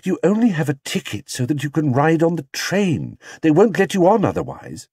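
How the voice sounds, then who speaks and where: A man voicing a brisk, prim, doubtful mother who fusses and chides in a children's story. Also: on the high side, sing-song, a little mellow, husky, dry recording, studio quality, pitched above the performer's own voice. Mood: weary.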